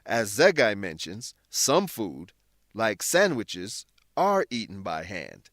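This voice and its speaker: A man narrating a scripted English lesson as a teacher, explaining words in a deliberate, instructional manner. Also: on the low side, very animated, fairly bright tone, dry recording, clean studio sound.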